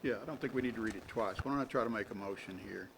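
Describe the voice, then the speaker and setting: Council member making a motion. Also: male voice, on the low side, gravelly, fairly bright tone, a little hiss or hum, low-quality recording. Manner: halting, reads figures carefully.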